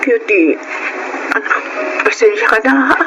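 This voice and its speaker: Concerned mother, slightly raised pitch. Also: expressive, measured pace, noisy background. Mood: apologetic. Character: concerned, apologetic mother of a grown daughter. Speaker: radio drama performer. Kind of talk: Filipino radio drama acting.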